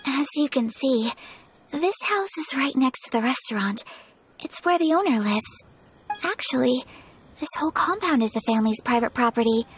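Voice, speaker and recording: A high-voiced, formal noble young lady. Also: animated, normal speed. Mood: polite. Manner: polite, formal, soft-spoken. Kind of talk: video game voice acting. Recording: game audio with faint music.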